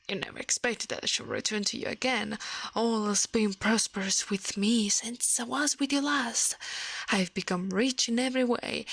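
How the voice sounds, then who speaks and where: Woman reading as rich living shadow, gentlemanly, boastful, formal, breathy, storybook, dry sound. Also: on the high side, no echo, exaggerated voice. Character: elegant, self-assured male shadow turned rich gentleman.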